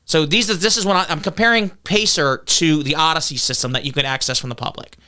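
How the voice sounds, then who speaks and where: Defensive candidate guest: man with a loud, clear, brisk voice. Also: a little high. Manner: combative, argumentative, lectures on legal details. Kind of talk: defensive podcast interview.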